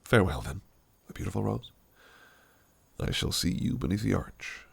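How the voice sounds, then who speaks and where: An actor playing a possessive, scheming fae prince who speaks in silky, archaic phrases that can turn menacing. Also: male voice, on the low side, big pitch swings, normal speed, gravelly, husky, exaggerated voice. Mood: teasing. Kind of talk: audio roleplay acting.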